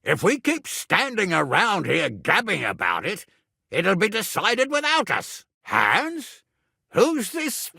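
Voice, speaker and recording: A gruff middle-aged nobleman and guardian in a medieval video game. His voice is forceful and gravelly, and he takes his time over his words. He is blunt, earthy and used to giving orders. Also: sits high, huge pitch swings, takes it slowly, no echo, studio quality, heavily acted. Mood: blunt, exasperated. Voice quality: gravelly, raspy. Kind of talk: dramatic video game dialogue.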